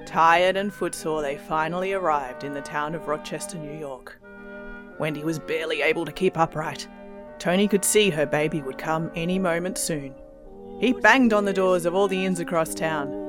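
A woman's voice: low voice, normal speed. A woman co-hosting, telling a playful comic story and chatting about music, laughing often. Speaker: podcast co-host.

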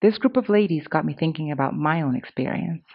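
A woman's voice: low-pitched, animated, a little fast, husky, lo-fi sound. A woman in her thirties hosting a podcast, reading scripted commentary in a candid, conversational way. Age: 30s. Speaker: podcast host.

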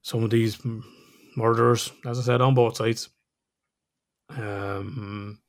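An Irish man talking on a podcast in a loose, conversational way. He chuckles a lot, drops the odd swear word, and speaks at a middling pitch for a man. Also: steady tone, slow pace. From Ireland. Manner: chatty, laughs often, swears casually. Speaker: podcast co-host. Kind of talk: casual podcast chat.

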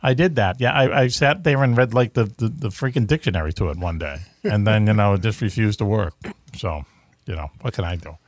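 Boston-accented man, low voice. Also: a little fast, dry recording, studio quality. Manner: rambling, chatty, many fillers and restarts. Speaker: radio show host. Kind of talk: casual talk show chat.

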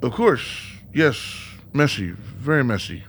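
A man playing an anxious, hesitant psych ward resident with a nervous, halting delivery in a radio play. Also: mid-range voice, very animated, slight background noise.

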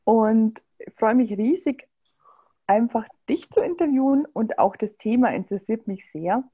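A woman with a fairly high, husky voice interviews a guest in a casual talk. She is appreciative and eager and uses some fillers. Her audio is thin and tinny, like a phone line. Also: expressive, moderate pace.

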